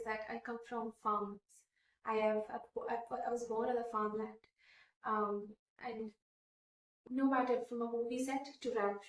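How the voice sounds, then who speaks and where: A woman's voice: fairly high pitch, reverberant, far from the mic, low-quality recording. A woman in her twenties from India whose first language is Hindi, earnestly answering interview questions with many fillers and restarts. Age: 20s.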